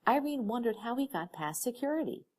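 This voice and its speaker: A woman narrating a fan fiction audiobook in an expressive storytelling manner. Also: on the high side, fairly quick. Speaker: audiobook reader. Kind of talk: scripted narration of fan fiction, with character dialogue.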